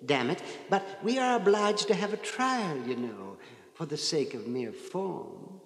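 An actor playing a sly, benign little old gentleman, polite and insinuating, in a 1950s radio drama. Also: a little high, sing-song, unhurried, exaggerated voice. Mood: genial, sly. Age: older. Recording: 1950s radio, echoey reverberant hall.

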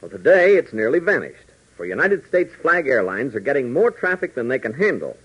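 A man's voice: mid-range voice, sing-song, brisk, a bit bright, slightly rough. A man narrating and announcing a 1940s radio serial with dramatic, theatrical delivery. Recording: noisy, dull vintage 1940s radio recording.

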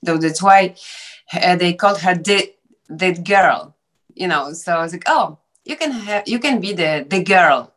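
A woman with a Polish accent, an actress and director, answering interview questions in a halting way and often stopping to search for words. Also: on the low side, a bit bright. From Poland. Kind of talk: interview guest, casual conversation.

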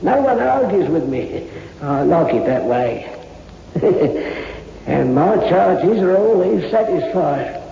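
Kindly morgue keeper, seventies, high creaky voice, echoey old radio recording. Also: male voice, big pitch swings, takes it slowly, mellow, dull audio, a little hiss or hum, exaggerated voice. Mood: contented, musing. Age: 70s. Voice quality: croaky old voice. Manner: chuckling, rambling, colloquial. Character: kindly, contented old male morgue attendant. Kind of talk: 1960s radio drama acting.

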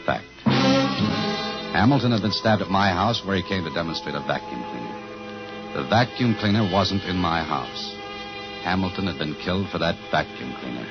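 Deep-voiced, hard-boiled radio detective. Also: male voice, expressive, moderate pace. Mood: determined narration. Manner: clipped, terse, insistent. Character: tough, dogged male police detective defending his wife. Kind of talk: 1950s radio thriller acting, hard-boiled narration. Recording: muffled vintage radio recording with music.

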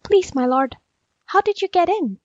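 A woman's voice: a little high, sing-song, unhurried, no room sound, dull audio. A woman voicing a sly jackal who plays muddled and dim-witted in a storybook tale, dithering and falsely humble. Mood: meek, confused.

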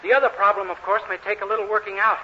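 An American man playing a naive, eager, dim-witted young man in an earnest, excitable 1940s radio comedy style. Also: high-pitched, racing, natural voice. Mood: pleased, bragging. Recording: hissy, muffled old radio recording.

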